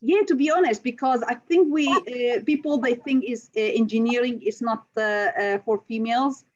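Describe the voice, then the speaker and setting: A woman's voice: fairly high pitch, normal speed. A woman from Syria, a chemical engineering lecturer, answering interview questions with many hesitant fillers. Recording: slightly dull recording.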